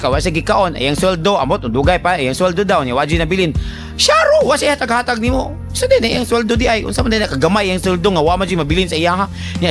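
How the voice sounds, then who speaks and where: A high-pitched male radio host with a Cebuano Bisaya accent gives casual advice over background music. He is colloquial and teasing, addressing the listener directly in an animated manner. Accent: Filipino, Cebuano Bisaya. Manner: animated, colloquial, teasing, acts out imagined remarks. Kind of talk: casual conversational advice to a letter sender.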